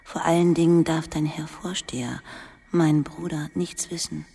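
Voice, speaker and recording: Dying schoolmistress, breathy half-whisper, low and very slow, tender sorrow, radio drama, some background noise. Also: female voice, steady tone, heavily acted. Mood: confiding, sad. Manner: slow, hushed, confiding, tender. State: weak, dying (acted). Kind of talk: intimate literary radio drama.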